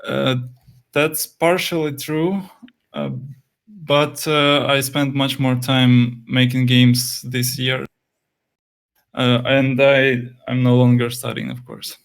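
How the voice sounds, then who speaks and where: Young man, Russian native speaker, hesitant, restarts sentences, mid-pitched voice. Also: flat delivery, takes it slowly, clear, resonant tone. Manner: hesitant, many fillers and restarts, occasional laughs. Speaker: indie game developer, interview guest. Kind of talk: casual interview answers.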